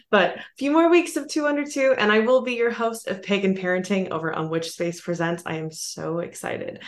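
A woman guest on a podcast who chats in a bubbly, giggly way. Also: fairly low pitch. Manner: bubbly, laughs often. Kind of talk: casual podcast chat. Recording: echoey room.

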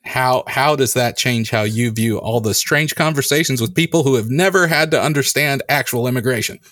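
Man telling lively anecdotes, dry mic. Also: mid-range voice, fairly quick, dry recording. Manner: animated anecdotes, acting out quoted lines. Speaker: podcast host. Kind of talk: casual conversation, storytelling.

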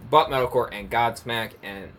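A man's voice: mid-range voice, moderate pace, a bit bright, a little hiss or hum. A man co-hosting a podcast in casual chat, laid-back and hesitant, with many fillers. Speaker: podcast co-host.

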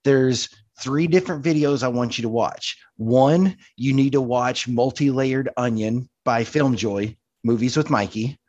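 A man's voice: mid-range voice, a little slow, few pauses, a little mellow, no echo, dull audio. A man co-hosting a podcast, chatting casually and insistently, often repeating himself to press his point. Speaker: podcast co-host. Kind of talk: casual chat.

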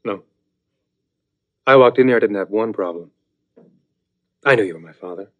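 An actor playing a young lawyer son in a TV drama, cool and defiant, politely cutting. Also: male voice, on the low side, moderate pace, lightly acted. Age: young adult. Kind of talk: 1980s primetime TV drama acting. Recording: muffled TV soundtrack, faint music.

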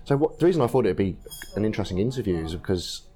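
A man hosting a casual podcast interview, his voice croaky and gruff from a throat infection, chatty and relaxed with plenty of fillers. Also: on the low side, rapid-fire. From England. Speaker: podcast host. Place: co-working space bar.

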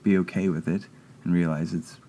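A man with a very low, deep voice, speaking in an unhurried, halting way. He restarts his sentences often and leans on fillers as he thinks aloud in a relaxed interview chat. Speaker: guest. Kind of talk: casual conversation.

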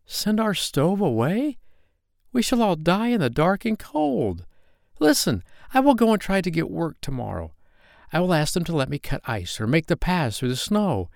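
A man's voice: a little high, big pitch swings, normal speed, clear, resonant tone, no echo, clean studio sound, voice pushed up. A man voicing an earnest young boy in a storybook, with an emphatic, pleading manner. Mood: desperate pleading. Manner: emphatic, pleading, repeats words.

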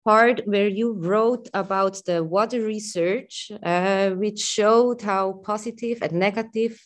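A woman with a Swiss accent calling in to share a personal story, hesitant and full of pauses and fillers. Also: mid-range voice. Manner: hesitant, many pauses and fillers, soft laughs. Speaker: caller. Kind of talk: personal testimony on a call-in.

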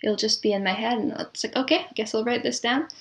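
A woman answering as a composer guest in a casual, chatty interview, quick to laugh. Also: a little high.

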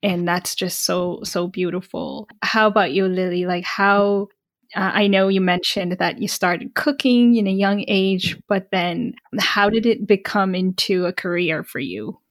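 A woman's voice: lowish voice, a little slow, no echo. A woman hosting an interview, responding with affirming praise before asking her guest a question. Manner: warm, affirming, gushing. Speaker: host. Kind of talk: interview, casual chat.

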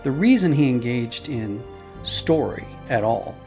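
A man's voice: medium pitch, expressive, measured pace, music in the background. A man being interviewed as an audio drama producer, explaining conversationally and telling anecdotes with quoted dialogue.

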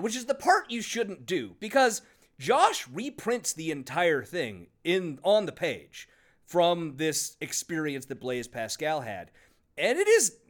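Sarcastic podcast dude, swears a lot, voice kinda high and jumpy. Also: male voice. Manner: sarcastic, swears freely, laughs often. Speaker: podcast co-host. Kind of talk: casual book-discussion podcast chat.

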